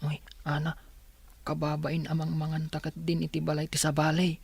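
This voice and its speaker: A woman's voice: deep voice, completely flat delivery, moderate pace, gravelly, darkish tone, slightly rough, dry recording, full character voice. A woman voicing a cautious young orphan boy, the hesitant, resigned older brother in an audio drama. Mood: hesitant, embarrassed. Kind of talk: audio drama acting in Ilocano.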